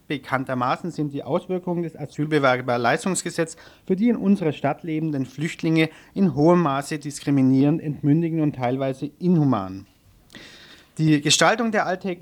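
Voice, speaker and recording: A man with a slightly high voice presents the news from a radio studio. He moves between reading out items and loosely improvised, somewhat hesitant links. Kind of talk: live studio news overview, partly read out.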